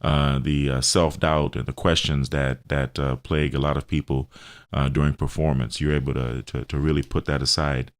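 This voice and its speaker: A man hosting a radio talk segment, explaining in a hesitant, halting way and repeating words. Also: extremely low pitch, level tone. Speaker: radio host and health center owner.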